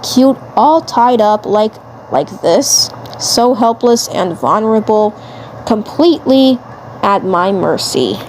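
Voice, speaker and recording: Woman playing an obsessive, slow-talking male alien commander. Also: mid-range voice, expressive, darkish tone, slight background noise, poor audio. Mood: teasing, smitten. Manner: gloating, repeats phrases.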